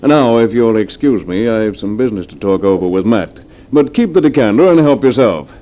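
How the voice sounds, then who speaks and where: Actor as a low-voiced, urbane, ruthless scheming villain. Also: male voice, lively intonation, brisk, warm tone, lightly acted. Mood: suavely genial. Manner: smooth, urbane, coolly matter-of-fact. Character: ruthless scheming rich fugitive businessman, coolly murderous. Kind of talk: old-time radio drama acting.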